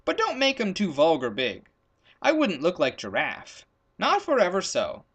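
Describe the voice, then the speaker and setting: Man voicing an excitable storybook leopard, wildly swooping pitch. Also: normal speed, slightly rough, heavily acted. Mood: grudging. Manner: indignant, exclamatory. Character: excitable, sulky, bantering male leopard. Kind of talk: storybook character voice in a read-aloud.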